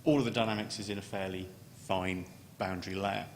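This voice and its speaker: A man giving an unscripted technical conference presentation as a scientist, halting and often repeating words as he starts a phrase. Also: fairly even intonation. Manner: halting, with stammered word repetitions. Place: large conference room. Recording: echoey hall, noticeable background noise, low rumble.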